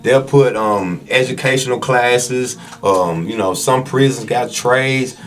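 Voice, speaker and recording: A man's voice: medium pitch, slow. A man giving emphatic, slangy opinions in a casual podcast conversation. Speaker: podcast speaker.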